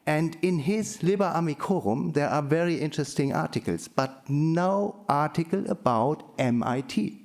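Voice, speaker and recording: A man speaks as an academic at a conference, with a fairly high-pitched voice. His delivery is measured and halting, and he often rephrases as he sets out his scholarly points. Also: expressive, a little mellow.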